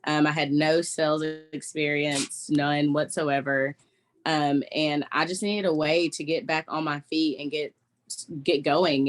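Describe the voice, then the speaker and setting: A young woman from Texas sharing her personal story on a video call, hesitant and full of ums. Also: low voice. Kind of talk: personal testimony.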